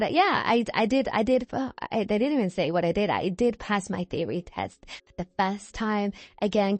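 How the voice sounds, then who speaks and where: A woman's voice: mid-range voice, dry recording. Chatty young British woman, dry close sound. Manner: chatty, digressive, self-correcting. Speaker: video creator and host. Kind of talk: casual rambling chat over gameplay.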